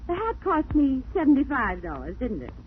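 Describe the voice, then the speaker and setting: A plucky young heroine in a 1940s radio mystery, with a high, swooping, emphatic voice. The recording is tinny and scratchy. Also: female voice, huge pitch swings, moderate pace. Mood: playful, pleased. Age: young adult. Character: plucky well-dressed young woman sleuth, pert. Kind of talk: 1940s radio drama acting.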